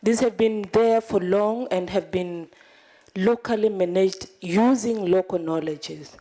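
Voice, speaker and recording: A woman's voice: medium pitch, measured pace, warm tone. A woman from Tanzania, a civil society delegate, speaking earnestly from the floor of a large conference hall, pleading and restarting as she thinks aloud. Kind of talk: impassioned comment from the floor.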